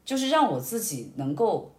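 A woman chatting casually as a podcast guest, telling personal stories in an animated, reflective way and explaining things step by step. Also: mid-range voice, moderate pace. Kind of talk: casual conversational personal storytelling. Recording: roomy, echoing indoor sound.

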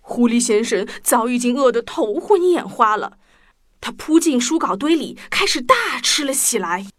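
A woman narrating a story for children in an expressive, animated storyteller's style. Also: a little high, normal speed. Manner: expressive, addresses young listeners. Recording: music bed behind the voice.

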